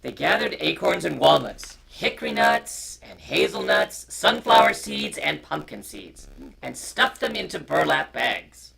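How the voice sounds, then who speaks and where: Gravelly, bright-voiced man co-hosting story time. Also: medium pitch, very animated, a little slow. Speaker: co-host, storyteller. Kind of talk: informal chat and picture-book reading aloud. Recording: noisy, distorted live-stream sound.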